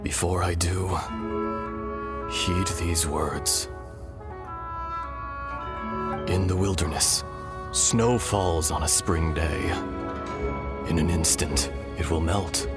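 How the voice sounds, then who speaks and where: A man voicing the calm, weary, benevolent spirit of an ancient dragon in a video game, speaking in a solemn, measured, poetic way. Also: low voice. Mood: gentle, resigned. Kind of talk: dramatic video game voice acting. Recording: loud game music under the voice.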